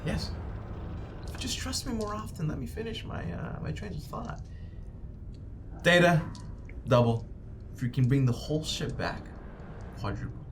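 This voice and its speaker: A man's voice: fairly high pitch, sing-song, unhurried, long pauses, slight background noise, voice pushed up. A man playing a bossy, condescending corporate agent in a tabletop roleplaying game. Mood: bargaining. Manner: domineering, condescending. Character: bossy, smug corporate company agent, male.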